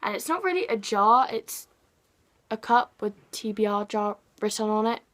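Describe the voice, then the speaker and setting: A woman's voice: medium pitch, animated, moderate pace, a bit bright. An English woman with an English accent chatting to camera about books in a rambling, playful, self-deprecating way. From England. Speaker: book vlogger. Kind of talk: casual chat to camera.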